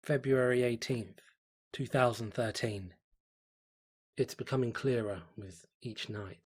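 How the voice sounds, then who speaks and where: Horror story role: devout, obsessive diarist played by a man, husky mid-pitched voice, reverent measured delivery. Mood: reverent, obsessed. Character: fervent cult devotee confiding in a diary. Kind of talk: dramatic horror story narration.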